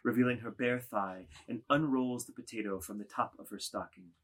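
A man reading stage directions as the narrator of a literary drama, in a measured scripted delivery. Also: medium pitch.

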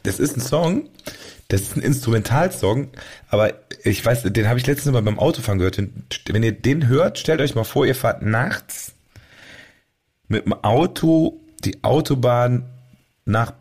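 A man's voice: medium pitch, animated, moderate pace, darkish tone, husky. A man co-hosting a podcast, chatting casually in a playful, teasing way with mock announcements. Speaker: podcast co-host. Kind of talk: casual joking podcast chat.

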